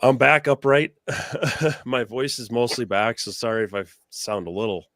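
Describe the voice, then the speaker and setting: A hoarse man co-hosting a live stream, rambling with lots of fillers over a video call. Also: medium pitch, moderate pace, fairly bright tone, no room sound, clean studio sound. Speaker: co-host. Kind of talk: casual live-stream chat.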